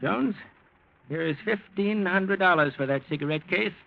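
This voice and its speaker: A muffled vintage radio recording carries an imperious old patriarch. His creaky voice sits a little high for a man. He is shrewd, irritable and used to being obeyed, in classic 1940s radio drama style. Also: moderate pace. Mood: coolly shrewd.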